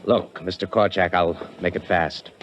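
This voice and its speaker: An actor playing a desperate, obsessed young drifter in a 1950s radio drama, with an American accent and intense tough talk. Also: male voice, sits low, steady tone, moderate pace, noisy background, close to an everyday voice. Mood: urgent pleading. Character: desperate, obsessed young drifter turned killer, narrating his story. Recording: muffled 1950s radio recording with music.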